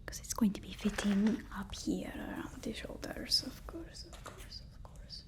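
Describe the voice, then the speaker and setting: A woman whispering an ASMR roleplay as a deferential, flattering personal assistant, often repeating her words softly and hesitantly. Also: medium pitch, measured pace. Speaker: ASMR performer.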